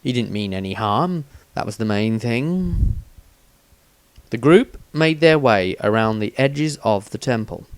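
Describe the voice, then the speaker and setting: A man narrates a fantasy story in a steady, measured manner with a mid-pitched voice. The sound is dry and close, with no room around it. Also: no room sound. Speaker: audiobook reader.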